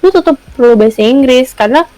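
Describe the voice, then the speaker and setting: A woman's voice: high-pitched, expressive, quick pace, darkish tone, no room sound. Young woman co-host, Jakarta accent, casual chatter with English words dropped in. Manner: chatty, mixes Indonesian slang with English words. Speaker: podcast co-host. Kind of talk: casual chat.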